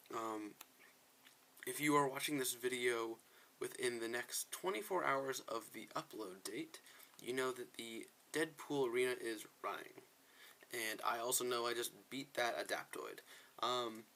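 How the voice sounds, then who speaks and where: Man with bright, creaky voice commentating gameplay. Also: medium pitch. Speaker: gaming video host.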